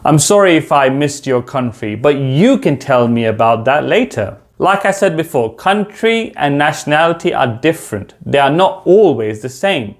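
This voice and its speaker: A man in his thirties from the United Kingdom, with a London accent, teaching a beginner English lesson to camera from a small room at home and spelling out slow, deliberate example sentences. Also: on the high side, expressive.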